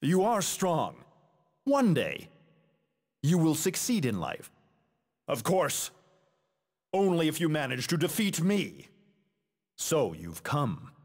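Casting: menacing, disdainful fighting-game warrior. Husky, hugely animated pitch, grand haughty delivery. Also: male voice, slow, pauses often, long reverb tail, full character voice. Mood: solemn, instructive. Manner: haughty, declamatory, dramatic. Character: proud, menacing adult male warrior, disdainful of weaker foes. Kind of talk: video game fighting voice clips, theatrical.

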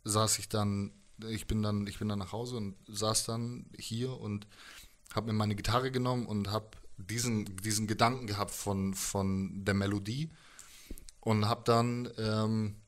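A middle-aged man telling a personal story as an interview guest, thoughtful and halting, in colloquial words. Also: lowish voice, little pitch movement. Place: indoors, living room with instruments. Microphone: handheld microphone held close.

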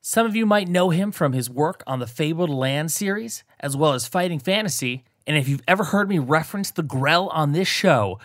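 A man hosts his podcast with an upbeat, lightly jokey manner. His voice sits at an ordinary pitch. The recording is dry and close. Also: a bit bright, dry recording. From New Jersey. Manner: enthusiastic, jokey host delivery. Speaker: podcast host. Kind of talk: podcast introduction and chat.

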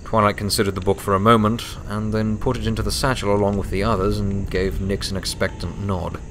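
A man's voice: on the low side, flat delivery, fairly quick, noisy background. A man reading scripted story narration as an audiobook narrator.

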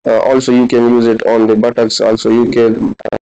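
Guy in his 30s teaching a hands-on demo, mellow smooth voice, stop and start, bit lo-fi recording. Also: mid-range voice, completely flat delivery. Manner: halting, instructional. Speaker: physiotherapy instructor. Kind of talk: practical demonstration lecture. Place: large indoor classroom clinic room. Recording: dull, lo-fi camera audio.

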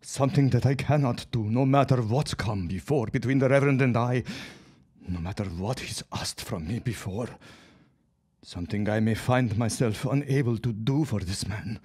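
A man's voice: mid-range voice, moderate pace, a little mellow, slightly rough, close to an everyday voice. An actor playing a troubled, remorseful immigrant husband and reluctant henchman in an audio drama, speaking in a formal, melodramatic, lamenting way. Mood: anguished. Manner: melodramatic, lamenting, formal old-fashioned phrasing.

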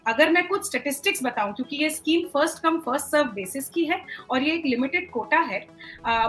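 A woman's voice: high voice, fairly bright tone, rough recording. A young woman with an Indian accent, explaining informatively as an expert guest on a video call and mixing in English words. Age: young adult. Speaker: solar company representative, expert guest. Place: indoor furnished room.

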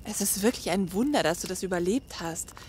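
A woman's voice: fairly low pitch, expressive, fairly quick, a little mellow, a little hiss or hum, lightly acted. An actress playing a compassionate female survivor in a German zombie audio drama. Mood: concerned, caring.

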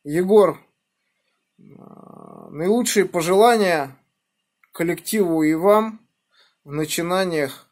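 A middle-aged man from the Moscow region talking as an amateur radio operator in a measured, deliberate, encouraging manner. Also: high voice, a little mellow. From Moscow region, Russia. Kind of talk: ham radio contact, spelling out callsigns phonetically. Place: indoors at a home radio desk by a window. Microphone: phone filming the radio.

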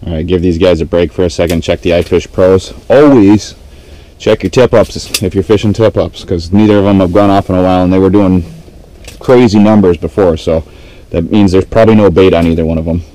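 Deep, dark-voiced man muttering while fishing. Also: fairly even intonation, fairly quick. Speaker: fishing vlogger. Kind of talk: casual running commentary while ice fishing. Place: small ice-fishing shelter on a frozen lake, sometimes out on open ice. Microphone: action camera worn on the body. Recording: dark muffled sound, background noise.

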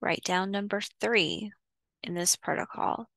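A woman leading a guided visualization in a soothing, instructional way, pausing between short phrases. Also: mid-range voice, gravelly, a bit bright, no room sound. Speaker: guided meditation host. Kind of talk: guided visualization, meditation narration.

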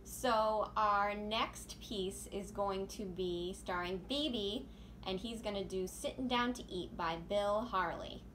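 A woman in her thirties informally hosting a children's storytime, pausing as she addresses young listeners. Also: medium pitch, fairly bright tone. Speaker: children's library storytime host. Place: small room indoors. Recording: noisy home recording.

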